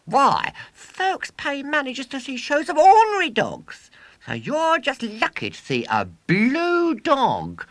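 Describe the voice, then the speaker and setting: Cocky scornful little kid from a storybook, super high squeaky pitch, swooping all over, bragging hard. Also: male voice, fairly bright tone, no echo, heavily acted. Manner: exclamatory, bragging, emphatic delivery. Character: boastful, scornful small English schoolboy showman. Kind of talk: children's storybook character voice.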